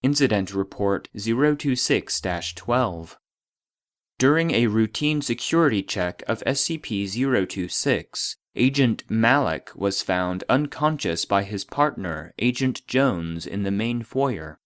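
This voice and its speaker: A man voicing an impersonal database, reading formal classified reports in a measured, clinical manner. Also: on the low side, lively intonation, dry recording, clean studio sound. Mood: clinical, detached. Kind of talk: scripted narration of a formal report.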